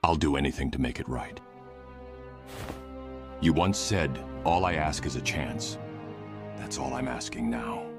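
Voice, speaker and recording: A man playing a brooding, stern vigilante mentor in a superhero comic dub, with terse, grave, gravelly delivery. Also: extremely low pitch, a little slow, pauses often, loud music behind the voice, exaggerated voice. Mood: pleading, sorrowful. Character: brooding, stern male vigilante, stubborn guilt-ridden mentor. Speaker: voice actor in a fan comic dub.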